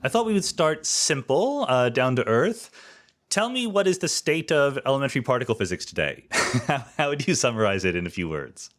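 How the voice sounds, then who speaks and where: A middle-aged man hosting a podcast interview, speaking in an articulate, measured way with lively questions. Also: mid-range voice. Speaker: podcast host.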